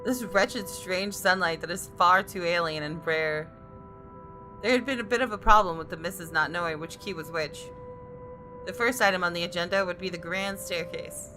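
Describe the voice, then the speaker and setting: A woman's voice: fairly low pitch, normal speed, sharp tone, soft background music. A woman voicing a wry, observant female designer who narrates a visual novel in a dry, reflective manner. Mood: matter-of-fact.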